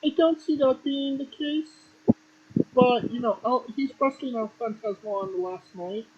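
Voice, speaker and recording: A man's voice: very high voice, moderate pace, dull audio, slight background noise. A man hosting a casual wrestling podcast, matter-of-fact, reeling off standings with offhand swearing. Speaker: podcast host.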